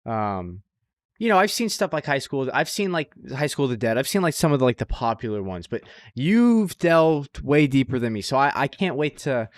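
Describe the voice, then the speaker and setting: A man with a mid-pitched voice co-hosts a casual podcast. He talks in slangy, sweary, enthusiastic bursts and leans hard on his recommendations. The sound is dry, with very little room. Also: sing-song, moderate pace, dry recording. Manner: profane, slangy. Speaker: podcast co-host. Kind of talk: casual chat about anime.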